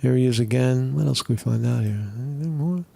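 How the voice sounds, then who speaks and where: A man's voice: medium pitch, a little fast, gravelly, mellow, dry recording. A man giving rambling, profane commentary while watching videos, full of fillers and restarts. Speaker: commentary streamer.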